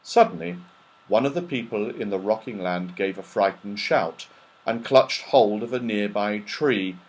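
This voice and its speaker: A man narrating a children's storybook in an expressive, dramatic, animated way. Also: on the low side, unhurried, low-quality recording. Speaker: audiobook narrator.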